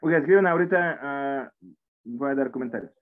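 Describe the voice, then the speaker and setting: A man's voice: mid-range voice, moderate pace. A man in his forties with a foreign accent in Spanish, teaching an English class online in a patient, encouraging way that often repeats itself. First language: not Spanish. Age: 40s. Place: indoor room at a computer. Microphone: computer mic on a video call. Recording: tinny phone-like online call audio.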